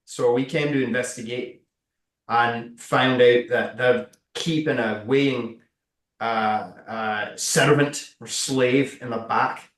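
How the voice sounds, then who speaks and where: A man's voice: mid-range voice, steady tone, takes it slowly, natural voice. A man improvising a nervous, rambling mercenary who stammers and over-explains in a tabletop roleplay. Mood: nervous, halting. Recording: echoey room, far from mic.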